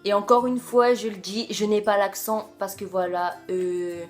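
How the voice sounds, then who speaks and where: A woman's voice: medium pitch, little pitch movement, unhurried, faint music behind. A young woman with a French accent chatting casually to camera as a vlogger, hesitant and full of fillers. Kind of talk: casual video chat.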